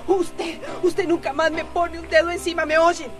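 A woman's voice: extremely high pitch, level tone, moderate pace, over loud music. An actress with a Costa Rican accent playing an anxious but defiant wife and mother in a radio soap opera.

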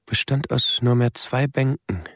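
An actor playing a withdrawn, melancholy young man who narrates a radio drama in measured, halting short phrases. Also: mid-range voice, a bit bright, no echo, studio quality. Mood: reflective. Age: young adult. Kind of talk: literary radio drama monologue.

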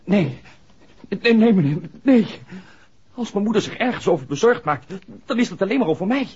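An earnest jittery young guy, stutters, swingy husky voice, 60s radio drama. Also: high voice, expressive, normal speed, darkish tone, heavily acted. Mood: distressed. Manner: hesitant, stammering. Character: anxious, earnest young man, loyal son. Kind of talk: 1960s Dutch radio drama acting. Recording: dull 1960s radio recording.

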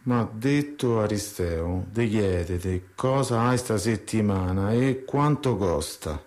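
A man's voice: lowish voice, lively intonation, slow, darkish tone. A man playing a brusque, irritable young Roman in a radio drama, with slangy, curt speech and a Roman accent. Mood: wary. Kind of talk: naturalistic radio drama acting.

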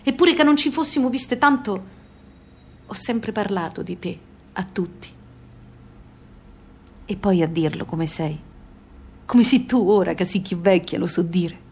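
A woman's voice: mid-range voice, lively intonation, unhurried, pauses often, a little mellow, slightly rough. An actress playing an ailing, affectionate older mother with a southern Italian accent, speaking plainly and repetitively to her daughter in a radio play. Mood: weary, tender. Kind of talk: theatrical monologue on radio.

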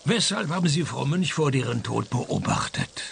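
A man with a Swiss accent acting a dry, sarcastic private detective who also narrates a radio crime comedy, deadpan and sardonic. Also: on the high side, normal speed, gravelly, a little hiss or hum. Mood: probing, dry.

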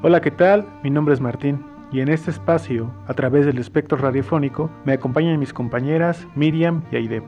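A man's voice: on the high side, normal speed, few pauses, lo-fi sound, faint music behind. A man with a Mexican accent hosting a live community radio programme. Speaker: radio host.

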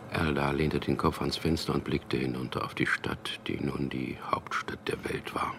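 An actor in a German radio drama playing a smooth-talking propagandist who tells and acts out his own story in an earnest way. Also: a bass voice, fairly bright tone, a little hiss or hum, full character voice.

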